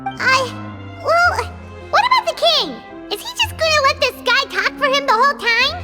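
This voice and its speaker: A woman voicing a tiny, excitable childlike fairy in a video game, squeaky, blurting and indignant. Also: normal speed, long reverb tail, over loud music.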